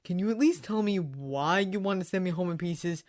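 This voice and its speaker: A woman's voice: on the low side, moderate pace, heavy background noise, lightly acted. A woman voicing a young schoolboy hero, blunt, snappish and sarcastic, in close to her own voice. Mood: sharp.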